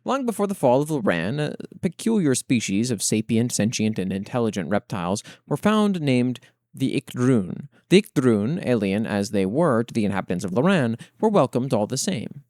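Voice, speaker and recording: Man, podcast host, medium pitch, measured storytelling delivery, expressive fiction reading, dry recording. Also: no echo. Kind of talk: expressive reading of written fiction with spoken commentary.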